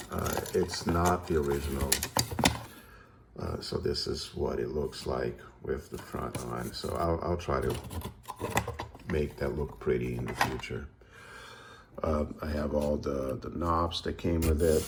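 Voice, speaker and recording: A man giving a casual, unscripted show-and-tell as a hobbyist, hesitant and halting as he thinks aloud. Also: a bass voice, creaky, noisy background. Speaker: radio hobbyist presenting a project.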